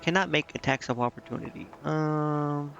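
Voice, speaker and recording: A man's voice: fairly high pitch, normal speed, a bit bright, faint music behind. A man giving casual gameplay commentary, thinking aloud and questioning himself as he goes. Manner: musing, questioning himself as he reads menus. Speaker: video game streamer. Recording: muffled lo-fi mic with clear background noise.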